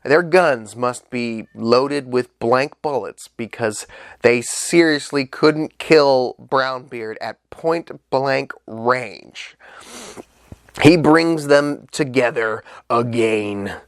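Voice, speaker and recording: A man's voice: medium pitch, very slow, smooth, no room sound, clean studio sound. A young man with a stuffed-up nose, chatting casually and eagerly as he reviews an anime, with loose fillers and the odd swear. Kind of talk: casual solo review vlog.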